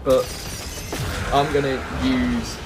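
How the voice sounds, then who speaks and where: A man with an ordinary mid-pitched voice gives casual walkthrough commentary as a gaming host. He talks conversationally to viewers while he plays. Clear background noise from the game sits behind his voice. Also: little pitch movement, slow pace.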